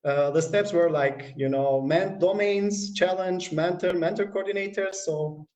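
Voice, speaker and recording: A man's voice: sits high, measured pace, a little mellow, reverberant, distant mic. A man speaking as a community manager in a live-stream conversation, with many fillers and restarts. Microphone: video call.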